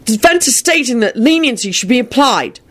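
A woman's voice: mid-range voice, lively intonation, moderate pace, pitched above the performer's own voice, close to an everyday voice. A woman reading the part of an earnest, determined young man making an emphatic plea in an audiobook. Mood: vehement. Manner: emphatic, vehement.

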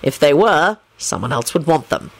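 A woman voicing a calm, dignified male law officer with a polite, measured, dry manner in a story reading. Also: low voice, gravelly, full character voice. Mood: polite, stern.

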